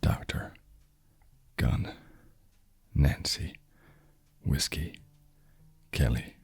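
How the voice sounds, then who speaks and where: An actor playing a cynical, hard-boiled male detective in an ASMR noir drama, speaking in a slow, brooding half-whisper. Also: no echo, heavily acted. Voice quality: very deep, husky, low murmur.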